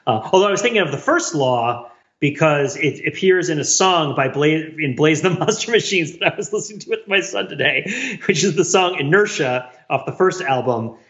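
A man's voice: a little high, expressive, moderate pace, fairly bright tone. A man co-hosting a podcast, explaining things in a casual, nerdy, digressive intellectual chat. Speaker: podcast co-host. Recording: slightly dull, band-limited audio.

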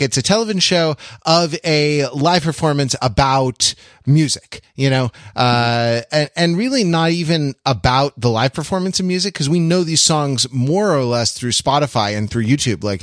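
Guy hosting a chatty podcast, thinks out loud and wanders, medium pitch, very dry recording. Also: no echo. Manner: halting, digressive, thinking aloud. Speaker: podcast host. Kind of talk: casual podcast conversation.

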